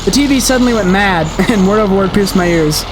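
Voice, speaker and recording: A woman hosting a gaming video, reading a horror game's story aloud in an expressive storytelling manner with her own asides. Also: mid-range voice, fast talker, noisy background. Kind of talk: dramatic read-aloud narration of a horror game with asides. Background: game soundtrack music.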